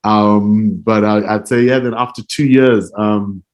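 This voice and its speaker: Guy in an interview, lowish voice, earnest and thoughtful, laughs softly a lot. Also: steady tone, a little slow. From Zimbabwe. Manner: earnest and reflective, with frequent soft laughs. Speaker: interview guest, music publishing director. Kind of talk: casual interview answers.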